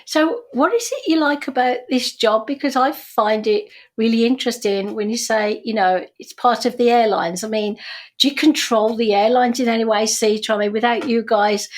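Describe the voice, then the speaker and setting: An older British woman with a slightly high voice, hosting an interview. She chats casually and effusively, with a self-deprecating streak. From Britain. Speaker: interview host.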